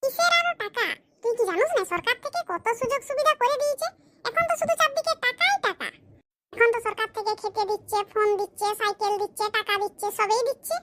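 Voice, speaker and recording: A performer plays a bragging cartoon villager with a squeaky, very high voice. He is smug and pleased with his own cleverness, and he spells out his schemes slowly. A faint hiss sits under the voice. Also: lively intonation, sharp tone, heavily acted. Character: boastful scheming village man, newly married. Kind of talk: cartoon comedy voice acting.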